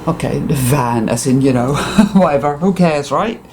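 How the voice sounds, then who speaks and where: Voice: mid-range voice, expressive, moderate pace, darkish tone, slight background noise. A speaker with a Dutch accent, a native Dutch speaker, gives an intense, profane, emphatic monologue to viewers, repeating words for emphasis. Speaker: spiritual vlogger.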